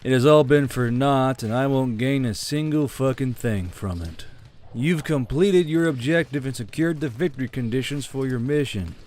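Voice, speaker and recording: A man's voice: medium pitch, moderate pace, a little mellow, natural voice. Man as a weary, grim troop commander. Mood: weary, bitter.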